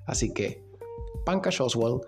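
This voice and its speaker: A male podcast host narrates in a medium-pitched voice with music playing behind him. His delivery is informal and conversational, like someone telling a story, with a dry, sardonic edge to his asides. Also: expressive, slow pace.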